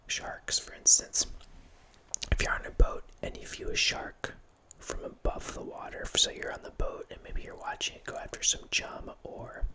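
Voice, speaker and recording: A man's voice: pushed and bright, poor audio. Whispering man rambling into a close mic. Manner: chatty rambling whisper with restarts. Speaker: ASMR channel host. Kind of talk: whispered ASMR horror film ramble. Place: home room with bookshelves, indoors. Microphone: large studio mic close up, wearing headphones.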